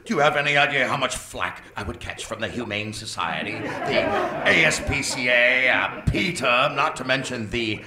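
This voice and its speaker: A man playing a scatterbrained, pompous male mad scientist who bursts into excitable outbursts. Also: mid-range voice, normal speed, hardly any pauses, roomy sound, a crowd in the background, background noise, natural voice. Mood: blustering. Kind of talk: comic radio play acting.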